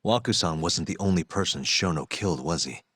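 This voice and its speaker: An actor playing a tough, commanding interrogator in a video game cutscene, speaking in a terse, firm, controlled way. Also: male voice, low-pitched, lively intonation, brisk, a bit bright, breathy edge, no echo, studio quality, exaggerated voice. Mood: stern, pressing.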